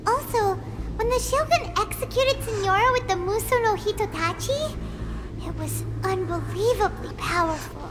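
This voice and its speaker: A woman's voice: very high-pitched, normal speed, noisy background. A woman voicing a tiny, anxious, chatty fairy girl companion in a video game, with a childlike way of talking. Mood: uneasy. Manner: childlike, refers to herself in third person.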